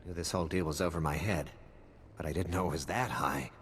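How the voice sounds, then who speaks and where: An actor playing a friendly, laid-back local informant in a video game, with casual clipped phrasing. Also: male voice, low voice, brisk, big-room sound, slight background noise, natural voice. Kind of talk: scripted video game cutscene acting.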